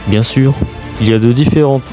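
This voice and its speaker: A man reading a scripted documentary narration as presenter, in a halting way with stumbles. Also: mid-range voice. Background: music.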